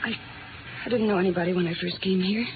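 A woman's voice: on the low side, steady tone, fast talker, close to an everyday voice. An American actress playing a frightened, fragile young woman with hesitant speech, in 1950s radio drama style. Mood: bitter, subdued. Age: young adult. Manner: hesitant, breaking off and repeating herself. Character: frightened, lonesome young female club worker, haunted and fragile. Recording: tinny, noisy old radio recording.

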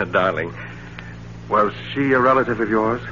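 An American actor in 1940s radio style, playing an affable husband who grows uneasy and suspicious. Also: male voice, fairly low pitch, unhurried, bright, forceful tone, slight background noise. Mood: uneasy, probing. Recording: muffled, humming 1940s radio recording.

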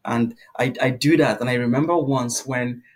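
A man's voice: medium pitch, steady tone, moderate pace. A young man from Nigeria, a guest in a casual interview, telling stories at length with many ums and an easy laugh. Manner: warm storyteller, laughs easily, many fillers.

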